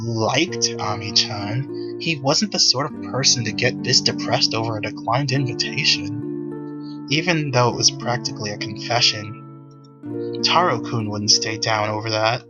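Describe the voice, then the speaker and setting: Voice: medium pitch, a little slow, muffled recording. A narrator reading scripted visual novel prose. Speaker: narrator and reader of all parts. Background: background music.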